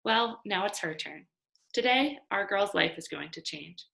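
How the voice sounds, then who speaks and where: A woman reading a storybook as a warm, hearty man who speaks emphatically, as if proposing a toast. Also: mid-range voice, expressive, unhurried, gravelly, exaggerated voice. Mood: proud, heartfelt.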